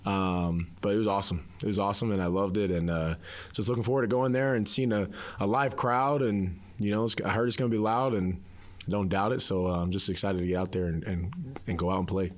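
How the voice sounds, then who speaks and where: A man's voice: lowish voice, a little fast. A young man, a college football player, answering casually in a post-practice interview, repeating himself with many "you knows". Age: young adult.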